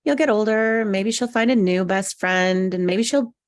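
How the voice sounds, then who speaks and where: A woman's voice: mid-range voice, moderate pace, no room sound, clean studio sound, natural voice. A woman playing a bitter, awkward, sarcastic single woman down on her luck at a comedy table read. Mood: petty, combative.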